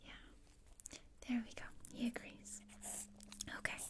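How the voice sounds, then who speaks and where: A woman playing a morbid, bossy gothic teenage girl in a breathy, deadpan ASMR roleplay whisper, close to the microphone. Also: a little slow, close to an everyday voice. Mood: scheming, bossy. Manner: deadpan, dry, matter-of-fact. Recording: close-up with clear noise and clicks.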